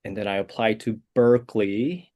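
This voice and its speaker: A man, a composer answering interview questions in a soft, hesitant way with many fillers. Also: on the low side, a little slow, dull audio. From South Korea. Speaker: guest composer.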